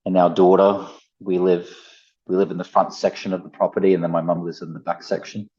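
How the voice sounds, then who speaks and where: A man in his thirties speaks with an Australian accent in a very low register. He talks casually as an interview guest, and the sound is a little dull and muffled. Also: level tone, a little fast, mellow. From Gold Coast, Australia. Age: 30s. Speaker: podcast guest, artist. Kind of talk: casual conversation. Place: at home, main living space.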